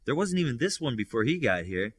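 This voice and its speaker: A man voicing a brisk, matter-of-fact female student in an audiobook. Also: medium pitch, sing-song, fairly bright tone, breathy edge. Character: brisk, quick-answering female student with powers.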